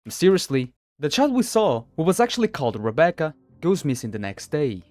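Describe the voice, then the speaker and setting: A man delivers scripted story recaps as a video narrator. His voice sits a little high and moves up and down a great deal. The sound is very dry, with no room around it. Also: sing-song, fairly quick, a little mellow, no room sound, soft background music. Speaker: narrator of a horror video countdown.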